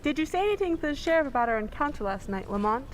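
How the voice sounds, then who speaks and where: A woman's voice: sits high, very animated, racing. An actress playing a poised, curious lady sleuth in old-time radio drama style, with polite, inquisitive delivery. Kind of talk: 1940s radio drama acting. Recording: echoey hall, faint background noise.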